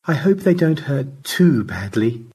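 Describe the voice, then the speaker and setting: Audio story role, male reader: considerate lady computer avatar, gentle and courteous, with a breathy, husky tone. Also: mid-range voice, very animated, a little slow, pitched above the performer's own voice, full character voice. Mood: gentle, polite. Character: Margaret, gentle female on-screen computer avatar.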